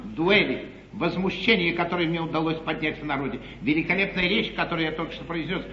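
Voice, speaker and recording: A man's voice: fairly high pitch, quick pace, natural voice. An actor playing a worldly English nobleman and schemer in a 1950s stage comedy, declaiming emphatically and repeating phrases for effect. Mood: excited, astonished. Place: theatre stage, live performance. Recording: noisy, muffled, echoey 1950s theatre broadcast.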